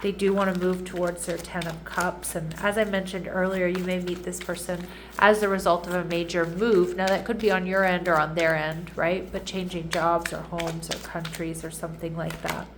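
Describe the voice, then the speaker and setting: A woman's voice: on the low side, little pitch movement, normal speed, few pauses, a little hiss or hum. A woman giving a casual tarot reading who sounds congested and nasal, chatting and often checking in with her audience. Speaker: tarot reader.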